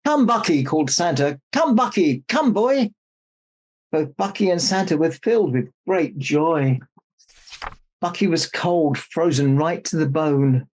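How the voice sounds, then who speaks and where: A man's voice: on the high side, lively intonation, a little slow, darkish tone. A man playing Santa Claus, a jolly old man reading a children's storybook aloud with jokey, mock-indignant asides. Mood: storytelling.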